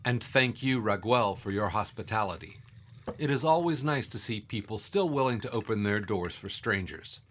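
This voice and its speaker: Low-voiced actor as a steady biblical guide. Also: male voice, moderate pace, a little hiss or hum. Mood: calm. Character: steady, reassuring male guide and mentor of biblical times. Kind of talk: full-cast audio drama acting.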